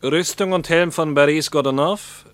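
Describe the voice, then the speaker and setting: An actor playing a chatty male Moscow interpreter and guide in a radio play, with brisk announcements and wry asides. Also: a little high, no echo, natural voice. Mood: brisk, informative.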